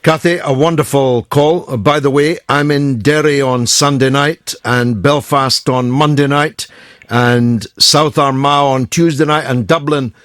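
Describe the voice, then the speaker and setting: Older guy hosting a call-in radio show, brisk, dry close sound. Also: medium pitch, steady tone, a bit bright, no room sound. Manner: brisk, reads listener messages aloud. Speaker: radio phone-in host. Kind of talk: talk radio phone-in.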